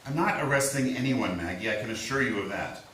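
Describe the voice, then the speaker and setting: A man acting in a staged reading as a steady, apologetic small-town lawman, speaking in a measured, reassuring way. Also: lowish voice. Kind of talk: staged play reading, naturalistic. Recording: echoey room, distant mic, faint hiss.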